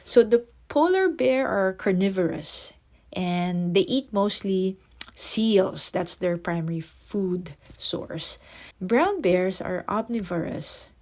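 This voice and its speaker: A woman's voice: mid-range voice, animated, slow pace, darkish tone. Filipino-accented woman podcast host. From Philippines. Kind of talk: casual podcast talk.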